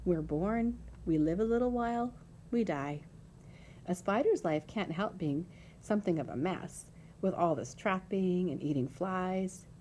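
A woman voicing a wise, motherly female spider in a storybook, measured, articulate and gently firm. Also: fairly low pitch, lively intonation, slight background noise. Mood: reflective. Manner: measured, articulate, matter-of-fact.

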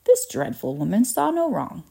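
Woman putting on a lively rhyming chorus of little factory workers. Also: on the high side, moderate pace, vocal fry, breathy edge, pitched above the performer's own voice, exaggerated voice. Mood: mocking, preachy. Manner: rhythmic, moralising verse. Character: chorus of small factory workers singing moral rhyme.

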